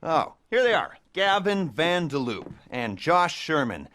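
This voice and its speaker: A man's voice: a little high, sing-song, unhurried, a bit bright. An actor playing a middle-aged criminal mastermind who briefs his crew with awkward, self-mocking humor and nervous laughter, in a video game cutscene. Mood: wry. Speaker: heist planner briefing a crew.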